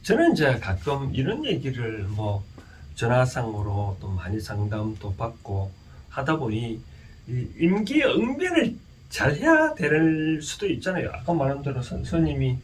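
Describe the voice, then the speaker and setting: A man with a Gyeongsang Korean accent giving an informal explanatory lecture, speaking haltingly and often putting rhetorical questions to his listeners. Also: mid-range voice, wildly swooping pitch. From Gyeongsang region, South Korea. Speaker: lecturer and counsellor. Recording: distant mic with faint background noise.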